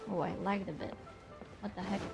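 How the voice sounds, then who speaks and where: A woman live streaming a game, giving casual, giggly, expressive reactions out loud. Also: on the low side, a little fast, creaky, over loud music.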